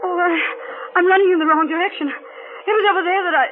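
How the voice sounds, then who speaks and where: An actress in a 1940s radio horror play, playing a desperate, guilt-ridden woman killer with a halting, melodramatic delivery. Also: very high voice, steady tone, a little hiss or hum. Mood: panicked. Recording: tinny old radio recording, music behind.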